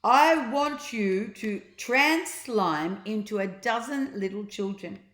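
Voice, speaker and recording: A bold young boy from a children's story performed by a woman, cheeky and fond of giving orders. The voice is pitched up and loud, with a commanding manner. It rings in an echoey room. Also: lively intonation, takes it slowly. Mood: gleeful command. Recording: roomy echo.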